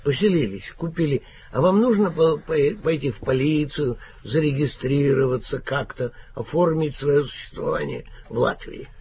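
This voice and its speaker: A man's voice: a little high, moderate pace, gravelly, hardly any pauses, darkish tone, slight background noise. A man co-hosting a radio interview, interjecting with questions. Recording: dull lo-fi radio recording.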